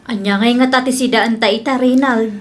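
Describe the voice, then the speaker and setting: A woman's voice: on the high side, steady tone, a little fast, close to an everyday voice. A woman playing a kindly, thrifty village wife in an Ilocano comedy radio drama, with an Ilocano Filipino accent. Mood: homely, coaxing.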